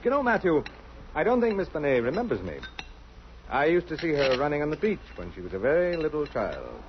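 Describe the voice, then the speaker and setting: Refined, hesitant radio-drama doctor. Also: male voice, fairly high pitch, huge pitch swings, brisk. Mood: polite. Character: courteous, cultured doctor, reclusive and world-weary. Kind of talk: old-time radio drama acting. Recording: muffled old radio recording, faint noise.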